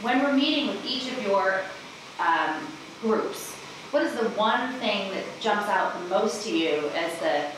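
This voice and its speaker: A woman's voice: mid-range voice, lively intonation, a little slow. Middle-aged woman speaking in an echoey room. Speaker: audience questioner, nonprofit executive director. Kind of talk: introducing herself and asking a question at a panel Q&A. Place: seated in conference room audience, indoors. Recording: very echoey room, distant mic, noisy ambience.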